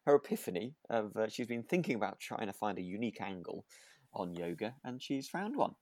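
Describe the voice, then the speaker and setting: A man with a low voice co-hosts a casual recap podcast, recorded dry and close. He chats loosely and hesitantly, often searching for the right words. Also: sing-song, a little fast, a little mellow, no echo. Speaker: podcast co-host. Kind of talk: casual chat recapping a radio drama.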